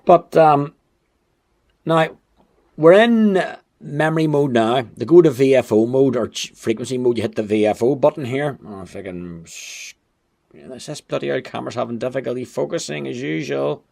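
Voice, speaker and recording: A man's voice: mid-range voice, lively intonation, normal speed, vocal fry, darkish tone. Chatty man reviewing gadgets. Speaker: amateur radio gadget reviewer. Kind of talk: casual hands-on product review. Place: indoors at home.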